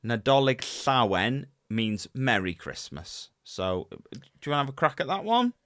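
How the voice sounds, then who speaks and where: A man, casually co-hosting a podcast with his wife, animated and full of fillers and restarts. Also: mid-range voice, a little slow, no echo, clean studio sound. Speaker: podcast co-host.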